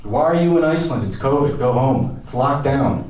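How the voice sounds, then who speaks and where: A man's voice: medium pitch, animated, normal speed, darkish tone, voice pushed up, close to an everyday voice. A blunt, exasperated man, as a male reader puts him on scolds in short, scornful bursts. It is a dramatic story performance, heard with heavy room echo and some distance from the microphone. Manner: curt, scornful. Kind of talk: dramatic audiobook-style story reading. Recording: echoey room, distant from mic.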